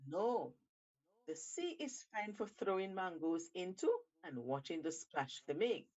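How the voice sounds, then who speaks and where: A wary male storybook monkey, voiced by a woman, protests hesitantly. The delivery is slow, with big swings in pitch. The sound is slightly dull. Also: lively intonation, darkish tone. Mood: wary, reluctant.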